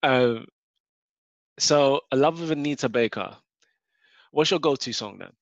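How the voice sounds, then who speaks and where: A man's voice: mid-range voice, animated, measured pace, no room sound. A man hosting a podcast, talking conversationally and steering the chat with questions. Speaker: podcast host. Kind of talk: casual podcast interview. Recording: dull, dry lo-fi recording.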